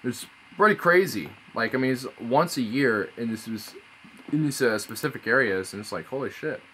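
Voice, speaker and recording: A man's voice: medium pitch, lively intonation, normal speed, a bit bright, slight background noise, low-quality recording. A man streaming casually, rambling and swearing as he reads aloud. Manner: rambling, laid-back, swears often. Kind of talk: casual live-stream chat. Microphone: phone.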